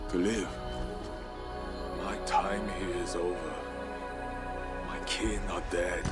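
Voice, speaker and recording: A fierce but grief-worn Norse warlord in a video game drama. His low voice moves slowly and gravely, weighing each archaic phrase with fatalistic bitterness, over prominent music. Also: steady tone. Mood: weary, grieving. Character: grief-worn, fatalistic Viking war chief, brooding and fierce.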